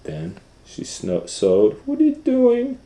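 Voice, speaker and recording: A middle-aged man reading a picture book aloud for children, dropping to whispers and rising to loud excited voices for the story. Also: extremely high pitch, takes it slowly, roomy sound. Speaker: storytime reader.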